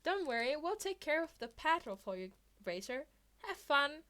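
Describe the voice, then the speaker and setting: A woman's voice: high-pitched, very animated, moderate pace, bright, forceful tone, voice pushed up, full character voice. A woman reading fan fiction as an earnest, cheeky teenage boy hero student who sounds apologetic yet brazen. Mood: cheerful, matter-of-fact.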